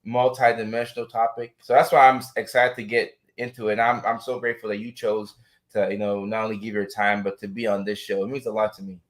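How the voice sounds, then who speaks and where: A man's voice: lowish voice, flat delivery, quick pace. A man hosting a live talk in a casual, supportive way, effusive and validating, with some strain in his voice. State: vocal trouble, strained voice. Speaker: host of a live talk show. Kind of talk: casual, supportive interview chat.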